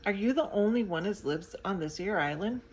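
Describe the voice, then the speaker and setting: A woman's voice: medium pitch, expressive, a little fast, voice pushed up, close to an everyday voice. A woman voicing a kindly old sailor with homely, plain speech. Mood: calm.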